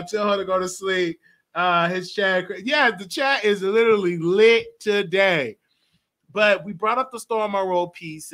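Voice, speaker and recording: A man's voice: on the high side, bright, forceful tone. A man in his late twenties hosting a live call-in show, chatting casually and laughing often. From Texas. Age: 20s. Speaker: host.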